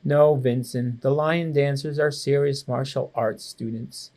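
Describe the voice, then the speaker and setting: A man's voice: medium pitch, fairly even intonation, a little slow, a little mellow, lightly acted. A man reading the part of a firm, serious mother in a children's storybook.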